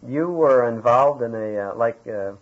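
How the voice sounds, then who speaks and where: Husky-voiced American male interviewer. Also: medium pitch, normal speed. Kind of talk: conversational interview. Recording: dull lo-fi interview tape.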